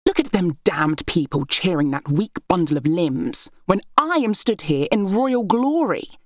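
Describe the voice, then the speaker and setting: A woman's voice: on the low side, lively intonation, a little slow, dry recording, studio quality, pitched above the performer's own voice. A woman voicing a proud, scornful young Egyptian princess in an audiobook story, full of haughty temper. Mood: contemptuous.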